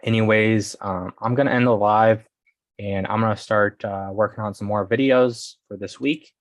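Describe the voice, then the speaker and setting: Guy doing a casual livestream, kinda low flat voice, rambles, keeps checking in w listeners, pretty dry sound. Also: moderate pace, no echo. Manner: rambling, often tags sentences with "okay" and "guys". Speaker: host of a business coaching livestream.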